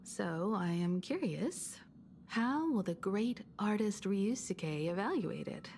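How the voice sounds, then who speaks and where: An actress playing a composed, gentle, dryly teasing grown daughter in a video game, soft-spoken and measured. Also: female voice, mid-range voice, animated, a little hiss or hum. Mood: gracious.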